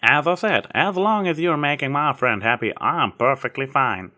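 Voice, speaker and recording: A man acts out a plain-spoken country mare from a storybook, pitched up from his natural voice. She is folksy and frank, earnest and protective, with a reassuring way of talking. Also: lively intonation, moderate pace, sharp tone. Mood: frank, friendly.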